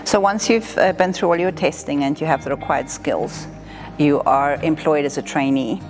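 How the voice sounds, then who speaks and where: A woman in her forties from southern Africa speaks in a fairly low voice, explaining her profession in an interview. Her delivery is measured and matter-of-fact. Faint music plays behind her. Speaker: air traffic controller being interviewed. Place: indoor room with wood-panelled wall.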